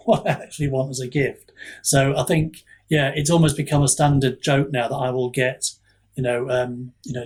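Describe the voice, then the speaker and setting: Husky-voiced man chatting, rambling and halting. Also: medium pitch. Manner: rambling, self-deprecating, halting with repeats. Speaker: guest author. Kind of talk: casual podcast chat.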